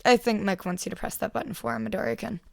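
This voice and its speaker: Woman as a terse, deadpan male teacher hero. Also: medium pitch, fast talker, creaky, no room sound, clean studio sound, exaggerated voice. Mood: curt. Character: dry, gruff, formal male teacher hero.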